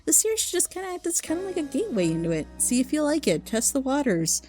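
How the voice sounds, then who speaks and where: A woman's voice: sits high, sing-song, fast, mellow, faint music behind. A woman giving a casual, unscripted review as a video host, rambling informally and with enthusiasm. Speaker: video host recommending fan audio dramas.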